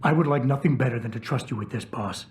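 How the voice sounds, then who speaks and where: An actor playing a brooding, skeptical ex-cop in a video game, terse and deadpan, with a gravelly voice. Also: male voice, medium pitch, fast talker, natural voice. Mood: challenging. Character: brooding, skeptical male ex-cop turned security officer. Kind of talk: dramatic video game dialogue. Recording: clear background ambient noise.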